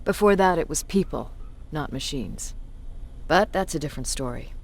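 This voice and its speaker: A woman's voice: deep voice, expressive, moderate pace, vocal fry, full character voice. A woman playing a battle-hardened resistance commander in a video game, with a blunt, authoritative manner. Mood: wry, reminiscing.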